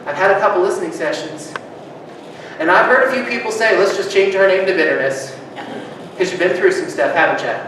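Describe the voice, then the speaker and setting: A man preaching a sermon as pastor, moving between loud rousing calls and soft hushed reflection, joking easily with his congregation. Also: sits high, fairly quick. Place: church sanctuary. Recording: distant mic, strong room echo, background noise.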